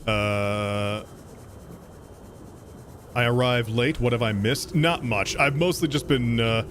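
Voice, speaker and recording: A man's voice: medium pitch, takes it slowly, a bit bright, slight background noise. A man streaming a game and chatting casually with his viewers, thanking donors, rambling with lots of fillers and restarts, and laughing often. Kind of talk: casual gaming stream chat, reading donations.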